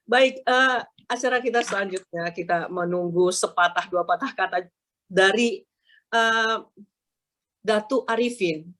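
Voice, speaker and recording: A woman's voice: mid-range voice, a bit bright. A woman with a stuffy nose from a bad flu, moderating an event and introducing speakers formally. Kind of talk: formal emcee announcements.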